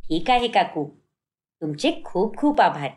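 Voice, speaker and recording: A woman's voice: fairly low pitch, very animated, measured pace, a little mellow, pitched below the performer's own voice. A woman playing an admiring, timid college girl, polite and wistful, in a cartoon story. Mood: grateful. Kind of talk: cartoon moral story dialogue.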